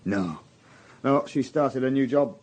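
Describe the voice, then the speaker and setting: An actor playing a plain-spoken young army private in a radio drama, with a Northern English accent and a hesitant, colloquial way of talking. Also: male voice, mid-range voice, a little slow, darkish tone, close to an everyday voice. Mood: awkward, guarded. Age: young adult. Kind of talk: naturalistic radio drama acting.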